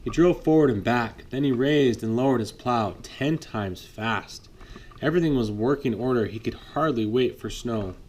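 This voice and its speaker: A father reads a storybook aloud to his child in a lively read-aloud manner, now and then stopping to chat. His voice sits in a middle range. A faint hiss of noise lies under the recording. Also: medium pitch, moderate pace. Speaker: father reading a bedtime story. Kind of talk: reading a picture book aloud to a child.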